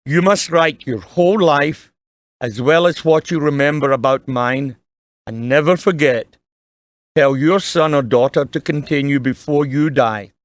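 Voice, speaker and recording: Casting: gentle, solemn dad played by a man, fairly high male voice, earnest audio drama delivery, dry sound. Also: a little slow, no echo, lightly acted. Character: kindly, earnest dying father advising his young son.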